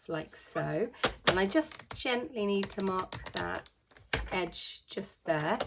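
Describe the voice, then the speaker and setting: A British woman with a croaky voice hosting a casual craft tutorial, chatty and full of ums as she explains each step. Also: on the low side, very noisy. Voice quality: croaky, with a lingering cough. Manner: chatty, with frequent ums and self-corrections.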